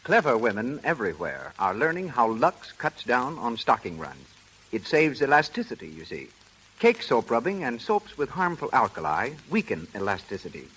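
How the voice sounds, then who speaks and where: A man's voice: medium pitch, sing-song, normal speed, a bit bright, husky, poor audio. An American man announcing and reading sponsor copy in the style of 1930s radio. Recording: vintage 1930s radio recording.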